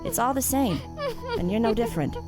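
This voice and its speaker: A woman's voice: fairly high pitch, huge pitch swings, fairly quick, over loud music. A woman playing a self-righteous, menacing killer gardener in an audio drama, theatrical and condescending as she taunts her captive. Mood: righteous menace. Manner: theatrical, condescending, swinging from soft to shouting. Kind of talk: audio drama villain monologue.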